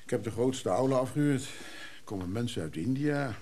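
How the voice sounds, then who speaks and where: A man's voice: medium pitch, animated, moderate pace, darkish tone, lightly acted. An actor playing a businesslike, discreet man in a naturalistic radio drama. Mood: brisk, businesslike. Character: businesslike man discreetly arranging a funeral and secrets.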